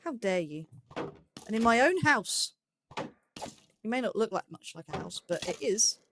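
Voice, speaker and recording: A woman's voice: mid-range voice, expressive, a little slow, noisy background. A woman giving casual let's play commentary, musing aloud and questioning herself as she goes. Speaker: host of a gaming let's play series.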